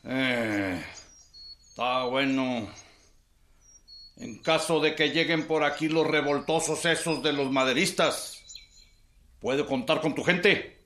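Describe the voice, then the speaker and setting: A man's voice: medium pitch, slow pace, long pauses, a bit bright. An actor playing a brusque, condescending Mexican man of authority in a radio drama. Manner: brusque, informal questioning. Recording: echoey outdoor scene, faint noise.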